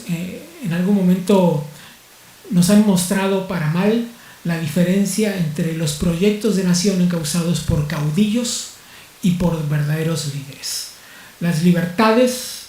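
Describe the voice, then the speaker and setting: A man moderating a formal panel discussion, speaking in a measured, rhetorical way with pauses. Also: high voice, a little mellow, roomy sound.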